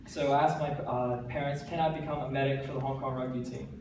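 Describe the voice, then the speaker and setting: Earnest American teen in an echoey hall. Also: male voice, medium pitch, quick pace. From United States. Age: teens. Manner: earnest, energetic, with light self-deprecating jokes. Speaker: TEDx speaker. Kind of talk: stage talk to a live audience. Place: large hall. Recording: very echoey hall, voice from a distance, faint background noise.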